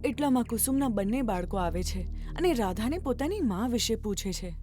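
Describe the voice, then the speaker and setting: A woman's voice: fairly high pitch, lively intonation, fairly quick, warm tone. A woman narrating a scripted children's story as its storyteller. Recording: music bed behind narration.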